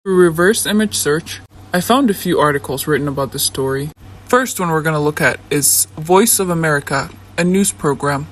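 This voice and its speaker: Narrator, native somali speaker, indignant, scripted, mid-pitched. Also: moderate pace, slight background noise, rough recording. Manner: indignant, debunking tone. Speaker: video essay narrator.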